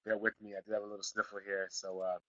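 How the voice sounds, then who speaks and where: A middle-aged man from Philadelphia hosting a live online marketing class, slightly raspy from a sniffle, repeating himself and building to emphatic points. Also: on the low side, monotone, fast talker, bright, forceful tone, dry recording, lo-fi sound. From Philadelphia, Pennsylvania. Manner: repetitive, restarts phrases, builds to emphatic points. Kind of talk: live teleseminar class, casual coaching.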